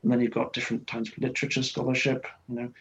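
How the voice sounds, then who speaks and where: A man from Wales, a guest scholar talking in a relaxed interview, rambling with lots of restarts and fillers. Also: mid-range voice, racing, highs cut off. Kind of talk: conversational interview.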